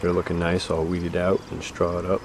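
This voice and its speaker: A man with a very low voice walks through his farm fields, narrating casually to a handheld camera. He rambles easily with plenty of "uh"s. Crickets and insects buzz clearly in the outdoor background. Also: monotone, normal speed, noisy background. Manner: easygoing, rambling, frequent "uh" fillers. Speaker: farmer giving a garden tour. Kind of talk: casual walking tour commentary. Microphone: handheld camera mic, walking.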